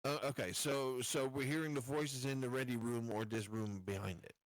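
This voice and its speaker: A man's voice: mid-range voice, steady tone, normal speed, no echo. Blunt man at a tabletop game, dry sound. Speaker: player in a tabletop roleplaying game. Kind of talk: casual game chat.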